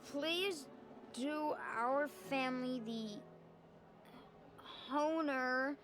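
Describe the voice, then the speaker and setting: A shy, nervous village child in a visual novel. The voice is high and painfully slow, stumbling through formal words. Soft music sits behind it. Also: female voice, heavily acted. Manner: halting, stumbling over words. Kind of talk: visual novel character acting.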